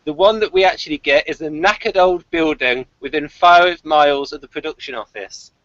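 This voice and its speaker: A man's voice: a little high, moderate pace, fairly bright tone, no room sound. A British man co-hosting a casual review chat, speaking in a hesitant, rambling, dryly wry way and partly reading from notes. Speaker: podcast co-host. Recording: slightly dull, lo-fi voice recording.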